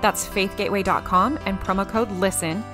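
Female podcast host, scripted delivery, medium register, clear music bed behind. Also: medium pitch, fairly even intonation, fairly quick. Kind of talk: scripted narration.

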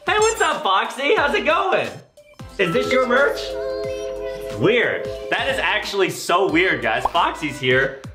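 A man's voice: sits high, sing-song, music in the background. Theatrical young man commentating on games, roomy sound. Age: 20s. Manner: exaggerated, theatrical reactions with shouts and drawn-out words. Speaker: gaming video host. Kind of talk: excitable gameplay commentary for kids. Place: indoor room with bare walls.

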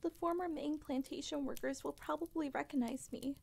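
A woman's voice: high voice, steady tone, fairly quick, creaky, a little mellow. A woman playing a timid, distressed, well-bred young heiress in a comic dub, earnest and polite. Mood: bitter, despondent. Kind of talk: comic dub character acting. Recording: voice over prominent music.

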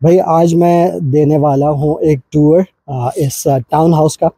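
A man's voice: on the high side, steady tone, dark tone, husky. A man in his thirties walking viewers through a townhouse, talking casually with many hesitant fillers. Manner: hesitant, many drawn-out fillers, mixes English words. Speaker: vlogger giving a house tour. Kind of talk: casual house-tour walkthrough. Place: indoor townhouse rooms and garage.

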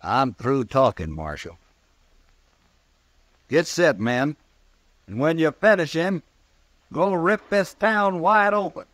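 Defiant cowboy gang leader, dry sound. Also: male voice, a little high, animated, slow, pauses often, fairly bright tone, no echo. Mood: menacing. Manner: folksy, blunt, menacing. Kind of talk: mid-century American radio western acting.

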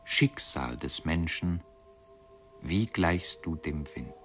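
An actor as a solemn reciter of classical poetry in a radio production. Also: male voice, rumbling low, expressive, takes it slowly, fairly bright tone, soft background music, exaggerated voice.

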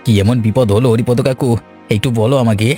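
A man's voice: mid-range voice, fairly even intonation, a little fast, warm tone, close to an everyday voice. A man voicing a curious, concerned village neighbour in a cartoon, full of questions, with music behind. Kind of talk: Bengali cartoon voice acting.

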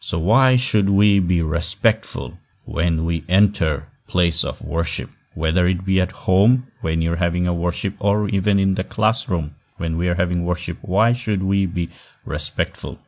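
A man teaching an online class in a voice-over on slides, speaking in short measured phrases with emphatic pauses. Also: deep voice. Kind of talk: recorded online class lecture.

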